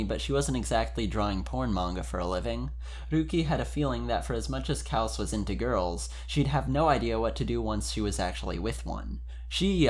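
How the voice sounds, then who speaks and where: Man giving an expressive, informal dramatic reading. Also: mid-range voice. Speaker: podcast member reading fan fiction aloud. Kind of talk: dramatic reading of fan fiction with casual introduction.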